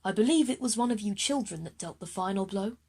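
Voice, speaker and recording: Woman as posh cosmic gentleman, children's audio drama, genteel and grand, a touch husky. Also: medium pitch, lively intonation, quick pace. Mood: apologetic. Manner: genteel, apologetic. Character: posh, polite gentleman, self-styled master of the universe.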